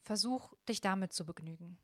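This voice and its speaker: A woman's voice: mid-range voice, normal speed, dry recording, clean studio sound, natural voice. A woman voicing a kind young woman in a storybook reading, earnest and heartfelt. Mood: gentle.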